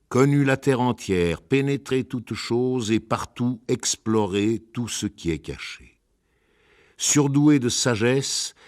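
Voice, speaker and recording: A man with a very low-pitched voice recites ancient epic verse. His delivery is very slow, grave and measured, with a solemn, declamatory weight given to each phrase. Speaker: reader of ancient epic poetry. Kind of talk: solemn dramatic poetry reading.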